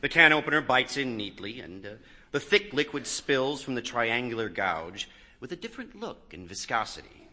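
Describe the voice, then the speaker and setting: A man reading a first-person literary short story expressively, swelling in volume and dropping softly. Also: mid-range voice, moderate pace, slight background noise. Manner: expressive, with strong swells and soft drops in volume.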